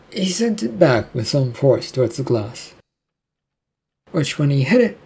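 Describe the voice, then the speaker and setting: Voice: mid-range voice, gravelly, warm tone, husky. A narrator reading a scripted fiction story aloud, telling the action between lines of character dialogue.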